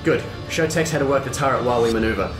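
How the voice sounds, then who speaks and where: A man's voice: medium pitch, rapid-fire, lightly acted. A man plays a cocky pilot captain in a space audio drama. He barks orders with breezy banter in a mid-range voice, roomy and backed by music. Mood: brisk.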